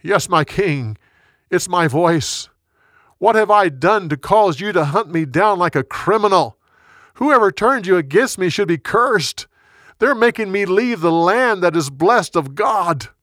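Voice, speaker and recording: A man's voice: fairly high pitch, steady tone, slow, few pauses, dry recording, studio quality. A man voicing a bold, righteous and loyal warrior leader in an earnest, declamatory way for a story. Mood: pleading, earnest.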